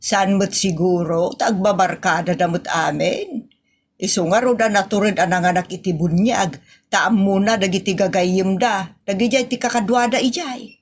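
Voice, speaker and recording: A woman playing a teasing, nagging mother and wife in a comedy audio drama, with a chiding, expressive manner. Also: medium pitch, moderate pace, husky. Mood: reasoning. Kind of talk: Ilocano comedy radio-style drama acting.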